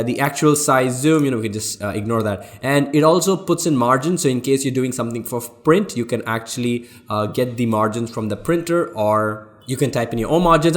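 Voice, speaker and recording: A man with an Indian accent hosts a software tutorial in a mid-pitched voice. He talks casually and informally, walking viewers through each step as a designer showing his screen. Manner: informal, addresses viewers as guys, explains step by step.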